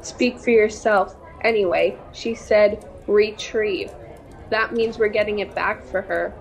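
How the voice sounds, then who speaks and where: A woman's voice: mid-range voice, steady tone, measured pace, creaky, poor audio. A young female performer playing a blunt, cheeky adventurer girl in a radio-style serial, with a dry, sceptical manner.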